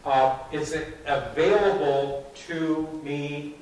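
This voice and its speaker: A man's voice: a little high, slow, darkish tone. Chatty man in an echoey room. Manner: chatty, explains step by step with frequent "okay". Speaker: club meeting presenter. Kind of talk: casual computer club demo. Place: meeting room, heard from a distance. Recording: echoey room, far from the mic.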